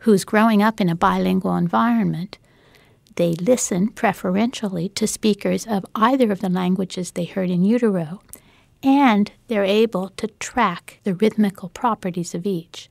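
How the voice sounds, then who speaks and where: A woman, a developmental psychologist, explaining her research in an interview in a measured way. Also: medium pitch, creaky, no room sound. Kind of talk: interview answers.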